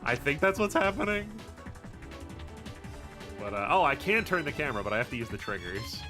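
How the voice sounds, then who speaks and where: A man's voice: mid-range voice, big pitch swings, a little fast. A man giving casual, sarcastic gameplay commentary with some grumbling and swearing, as a streamer would. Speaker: video game streamer. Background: game music.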